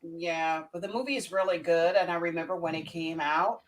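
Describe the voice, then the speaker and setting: A mature woman with a slightly low voice talks casually as a panelist. She is emphatic and likes to restate her point for effect. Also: a little fast, a little hiss or hum, low-quality recording. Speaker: panelist on a talk show. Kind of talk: casual chat.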